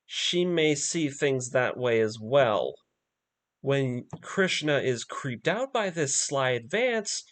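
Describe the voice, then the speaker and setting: A man narrating a scripted story recap as a video essay host, with emphatic, dramatic delivery and pointed pauses. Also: on the high side, a bit bright.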